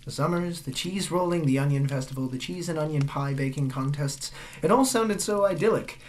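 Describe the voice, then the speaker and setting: Woman acting a polite, low-voiced young man. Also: a little fast, a little mellow, natural voice. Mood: awkward, earnest. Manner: polite, hesitant, increasingly exasperated. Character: polite, flustered young male visitor to village. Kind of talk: comic audio drama acting.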